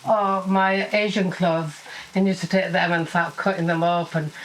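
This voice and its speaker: Female, middle-aged. Slightly low voice, casual chatty delivery, faint hissy background noise. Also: steady tone, moderate pace, rough recording. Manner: informal, chatty. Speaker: mother of the documentary's subject. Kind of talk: casual chat.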